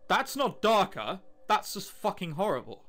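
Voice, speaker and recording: A man's voice: sits high, very animated, moderate pace, a bit bright. A young man hosting a let's play, reading the game text aloud and making sarcastic, sweary remarks about the story.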